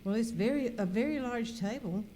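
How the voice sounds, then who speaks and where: Curious female cruise passenger, amateur actress, mellow high voice, radio skit, echoing hall, faint noise. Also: a little fast, close to an everyday voice. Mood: uneasy. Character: curious, suspicious woman cruise passenger piecing things together.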